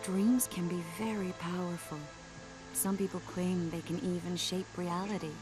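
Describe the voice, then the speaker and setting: A woman playing a calm, knowing young confidante in a video game. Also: on the low side, moderate pace, close to an everyday voice. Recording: music under the voice.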